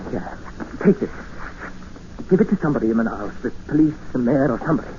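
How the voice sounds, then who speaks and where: An actor playing an educated English captive, narrating and speaking in an earnest, formal, dramatic 1950s radio style. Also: male voice, medium pitch, expressive, fast, warm tone, slight background noise, lightly acted. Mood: urgent pleading. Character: desperate, educated male English captive expedition member, narrating. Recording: muffled old radio recording with music.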